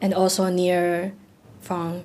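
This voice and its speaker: Unhurried woman, halting English. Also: on the low side, monotone, heavy background noise. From Taiwan. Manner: halting, searching for words, laughs often. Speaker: singer guest. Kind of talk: interview answers.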